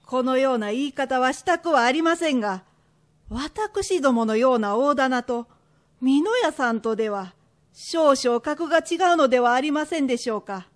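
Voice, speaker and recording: A woman plays a proud Edo shopkeeper's wife in a radio drama. She has a high voice and a firm, matronly, old-fashioned way of speaking, stern to the core. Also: expressive, moderate pace. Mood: indignant, formal. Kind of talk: period radio drama acting.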